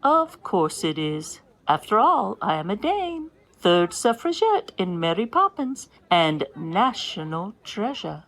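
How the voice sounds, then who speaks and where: A woman's voice: medium pitch, sing-song, takes it slowly, hardly any pauses, a bit bright, heavily acted. A woman playing a pompous grand old stage dame with a haughty, theatrical British accent. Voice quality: haughty, theatrical, self-important. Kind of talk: comedy podcast sketch.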